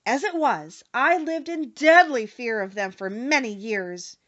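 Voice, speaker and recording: A woman's voice: a little high, expressive, a little slow, a bit bright, lo-fi sound. A woman voicing a little old humbug wizard, sorrowful and confessing, in earnest audiobook storytelling. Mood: earnest confession. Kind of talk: audiobook character voice.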